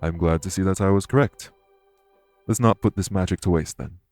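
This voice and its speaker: A man playing a courteous, dour immortal king in an audio roleplay, speaking in a formal, measured way. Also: deep voice, darkish tone, no room sound, soft background music, natural voice. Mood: gracious. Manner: formal, measured, gravely polite. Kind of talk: immersive audio roleplay acting addressed to listener.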